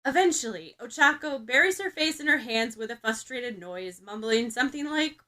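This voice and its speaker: A woman's voice: fairly high pitch, lively intonation, moderate pace, bright, forceful tone. A woman narrating scripted fiction expressively, as a podfic reader.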